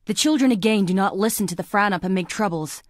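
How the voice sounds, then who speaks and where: Woman acting a frail young woman in a horror game, mid-pitched, melancholy, languid, theatrical, dry recording. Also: fast, dry recording, lightly acted. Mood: earnest, uneasy. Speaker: video game character. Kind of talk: dramatic game voice lines.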